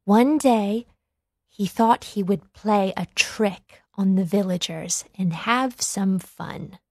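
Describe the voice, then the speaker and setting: Slow, deliberate woman storybook narrator. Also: medium pitch, no room sound, clean studio sound. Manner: deliberate, careful phrasing. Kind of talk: scripted children's read-along narration.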